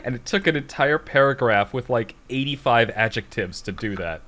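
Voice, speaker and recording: A man's voice: medium pitch, animated, moderate pace, fairly bright tone. A man with an American accent hosting a podcast, chatting casually and reading stories aloud. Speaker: podcast host.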